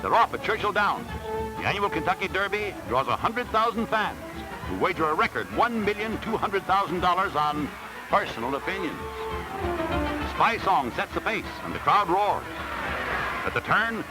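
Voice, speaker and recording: Dramatic high-pitched 1940s newsreel man. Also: expressive, normal speed, loud music behind the voice, rough recording. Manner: dramatic, formal announcer delivery. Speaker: newsreel commentator. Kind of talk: 1940s newsreel scripted narration. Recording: vintage 1940s newsreel film soundtrack.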